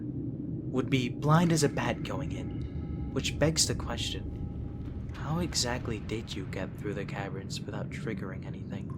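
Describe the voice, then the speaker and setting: A man playing a proud, sardonic royal vampire prince who is devoted to his friend, in an ASMR audio roleplay, halting and sighing, with whispered asides. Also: fairly low pitch, very noisy, natural voice.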